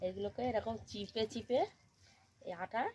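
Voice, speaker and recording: A woman's voice: a little high, fairly even intonation, moderate pace. A woman from Bengal hosts a village home-cooking video, narrating each step in a plain, instructional way with repeated phrasing. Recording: loud cooking noise, sizzling and stirring.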